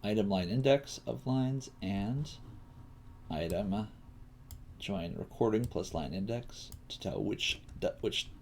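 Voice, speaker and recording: A man teaching coding on a casual livestream, thinking aloud in a halting mutter as he works. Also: fairly low pitch, darkish tone. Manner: halting, muttering to himself while working. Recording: faint noise, keyboard typing and clicks. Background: computer keyboard typing and mouse clicks.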